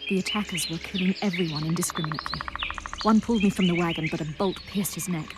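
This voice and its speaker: A woman's voice: lowish voice, steady tone, fast, heavy background noise, natural voice. A woman playing a guarded, shaken survivor in a fantasy video game, speaking earnestly and somewhat formally. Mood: grim recollection. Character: guarded, shaken female survivor and protector of royal children. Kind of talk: dramatic video game acting. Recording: music behind the voice.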